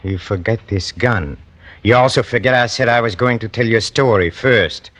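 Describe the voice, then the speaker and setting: An actor playing a smug, unhinged gunman in a 1940s radio thriller, speaking in a halting, taunting way. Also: mid-range voice, fairly bright tone, lightly acted.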